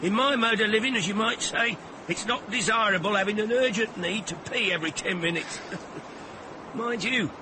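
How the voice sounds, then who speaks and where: A man acting a garrulous old tramp in a radio play, high-voiced and chirpy. He talks in coarse, jokey colloquialisms, with clear street noise around him. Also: moderate pace, natural voice. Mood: chatty, amused. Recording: noisy outdoor street ambience.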